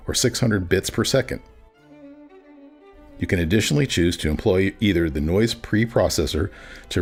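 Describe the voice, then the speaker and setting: Low-voiced man narrating a scripted tutorial. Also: a little mellow. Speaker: narrator, product demonstrator. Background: background music.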